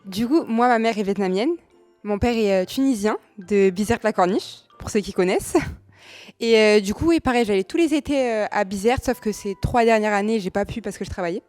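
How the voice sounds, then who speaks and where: A woman's voice: mid-range voice, brisk, darkish tone, faint music behind. A woman in her twenties, a game contestant, talking casually and hesitantly with many fillers. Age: 20s. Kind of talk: casual chat.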